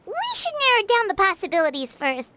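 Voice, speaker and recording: A woman's voice: big pitch swings, brisk, background noise. A woman voicing a small, chirpy, curious girl companion in a video game. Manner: high, bouncy, inquisitive.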